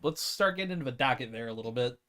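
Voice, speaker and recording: A man's voice: mid-range voice, animated, racing, a bit bright. A man co-hosting a podcast, chatting informally and with some swearing about films and games. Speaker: podcast co-host. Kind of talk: casual group chat about films and games.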